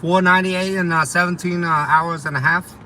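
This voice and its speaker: A man's voice: sits high, steady tone, a little fast, bright, forceful tone, slight background noise. A young man with a slight Vietnamese accent, chatting casually with live viewers about delivery driving in a loose, rambling way full of ums and uhs. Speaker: delivery driver streaming to viewers. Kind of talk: casual live chat reading viewer comments.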